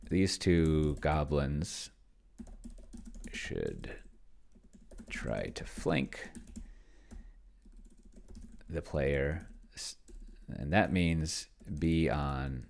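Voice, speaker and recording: A man casually thinking aloud as he hosts a tabletop game session, musing and halting as he goes. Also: sits low, creaky. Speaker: host playing a tabletop roleplaying game with an AI game master.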